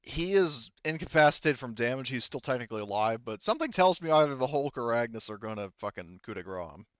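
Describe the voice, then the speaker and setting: A man's voice: mid-range voice, big pitch swings, brisk, a bit bright, smooth, no room sound, muffled recording. A man speaking casually and informally as game master of a tabletop roleplaying game.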